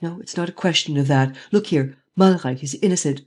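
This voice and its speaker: A reader voicing a daring, commanding gentleman thief who speaks urgently and forcefully in an audiobook. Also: mid-range voice, a little fast, close to an everyday voice. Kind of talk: audiobook reading.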